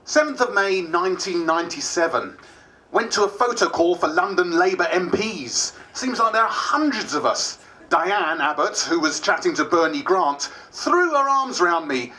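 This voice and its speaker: A man's voice: high voice, big pitch swings, normal speed, pushed and bright, heavily acted, poor audio. A man playing a wry, candid diarist who is new to politics, narrating dramatised diary entries. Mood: amused.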